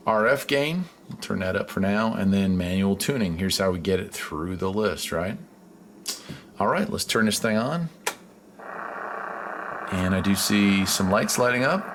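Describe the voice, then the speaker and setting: A man giving a casual hands-on demonstration as a hobbyist, unhurried and thinking aloud. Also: lowish voice, lively intonation, fairly bright tone. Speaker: radio hobbyist presenter. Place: indoors beside a shortwave receiver. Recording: clear background noise, radio static.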